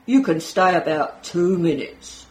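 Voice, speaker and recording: A woman voicing a calm, matter-of-fact male attendant at an exhibit entrance, within a story she reads. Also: lowish voice, measured pace, poor audio. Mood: reassuring.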